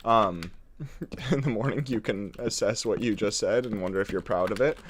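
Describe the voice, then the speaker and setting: A man's voice: fairly low pitch, normal speed, a little hiss or hum. A man live-streaming a building game, chatting in a playful, rambling way with frequent chuckles, sounding congested. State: congested, runny nose. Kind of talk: casual live-stream chat while building.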